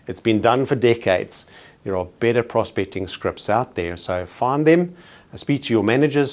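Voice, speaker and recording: A man with a South African accent coaches real estate agents in a motivational training talk. His voice sits a little low, and he drives home each point with short paired contrasts, slowing on the key words. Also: a little mellow.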